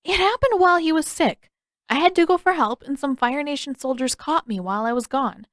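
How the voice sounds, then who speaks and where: A woman's voice: high voice, lively intonation, brisk, fairly bright tone, no echo, clean studio sound, pitched above the performer's own voice. A woman voicing an earnest, sheepish young boy in an audiobook, hesitant and a little defensive. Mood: insistent.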